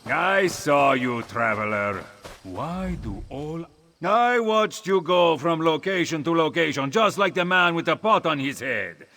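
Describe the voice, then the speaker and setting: A man's voice: a little high, animated, a little slow, pushed and bright, exaggerated voice. An actor voicing a suspicious, scornful man in a fantasy video game, accusing and exasperated in manner. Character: suspicious, scornful male local of a fantasy land. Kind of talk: video game character acting.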